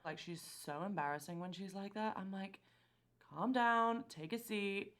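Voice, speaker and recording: A woman's voice: mid-range voice, a little slow, a bit bright, close to an everyday voice. An actress playing a bubbly, self-absorbed teenage girl who hosts a family quiz and talks in casual, gossipy slang. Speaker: quiz host in the story. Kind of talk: naturalistic screen drama dialogue.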